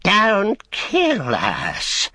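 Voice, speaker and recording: A man's voice: high voice, huge pitch swings, sharp tone, breathy edge, dry recording, voice pushed up, heavily acted. A man voicing a wretched, starved creature in an audiobook, whimpering and pleading in a strained, hissing voice. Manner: whimpering, pleading, drawn-out words. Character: wretched, wheedling, starved creature, corrupted and pitiful. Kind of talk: audiobook character acting.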